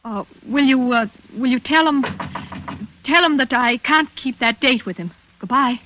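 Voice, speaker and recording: Anxious young lady, bright voice, hesitant, 1940s radio thriller, muffled old recording with music. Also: high-pitched, normal speed, a little hiss or hum. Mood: nervous. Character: anxious well-to-do young woman playing amateur sleuth. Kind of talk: 1940s radio drama acting. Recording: vintage radio recording, muffled, organ-era music behind.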